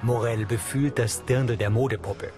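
A male narrator reads scripted audio description in a measured, neutral manner. His pitch is average for a man. Music plays clearly behind him. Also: fairly even intonation.

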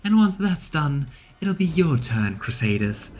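A man's voice: fairly high pitch, very animated, measured pace, darkish tone, soft background music, voice pushed up. A man voicing a vengeful, boastful demon princess in a video game, with haughty, grandiose delivery. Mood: triumphant.

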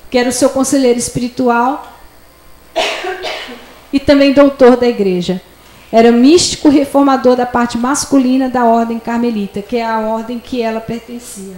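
A middle-aged woman with a high voice teaches from a handheld microphone, keeping it conversational and tossing in little check-ins to her listeners. The room is small and echoey, with a faint hum of ambience behind her. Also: darkish tone. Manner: chatty, asides with "né?". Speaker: lecturer. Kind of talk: classroom lecture with slides. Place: small lecture room with projector screen.

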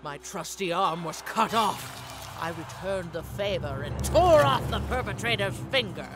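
A woman voicing a stern, solemn armored bodyguard in a video game, with a deliberate delivery. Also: mid-range voice, animated, over music. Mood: grave recounting. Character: stern, remorseful armored bodyguard, a one-armed warrior.

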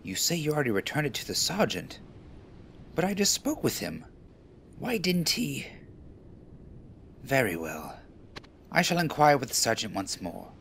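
A man's voice: medium pitch, big pitch swings, unhurried, creaky, pauses often, a bit bright, slight background noise, exaggerated voice. Man playing a stern officer with swooping intonation. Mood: suspicious. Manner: formal, condescending. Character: stern, suspicious, haughty naval officer at admissions. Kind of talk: game fan-dub acting.

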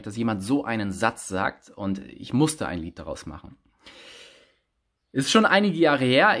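Animated man telling a story to his phone. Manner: lively, animated storyteller with big pitch swings. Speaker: rapper. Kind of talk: casual selfie vlog storytelling. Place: small room indoors. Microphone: phone held close at arm's length.

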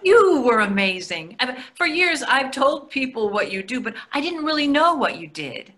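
A woman in her sixties acting in a comic readers theatre play as an expressive, petulant but admiring wife, over a video call. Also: high-pitched, normal speed. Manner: expressive, emphatic. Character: hungry, petulant yet admiring middle-aged wife. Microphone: computer microphone on video call.

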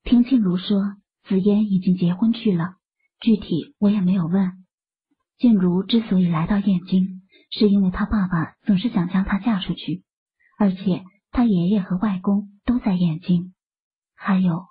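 An actress playing a composed, soft-spoken young wife who explains things in an urban fantasy audiobook. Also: female voice, medium pitch, fairly even intonation, moderate pace, breathy edge. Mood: explaining. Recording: muffled, phone-like, lo-fi audio.

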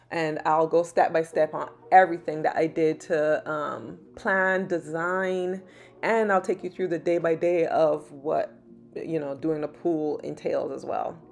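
A woman in her thirties from Barbados talks through her vlog in a low, easygoing voice, pausing often with "um." Soft background music sits faintly behind her narration. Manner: relaxed and chatty, many "um" fillers. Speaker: lifestyle vlogger. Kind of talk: casual vlog voice-over.

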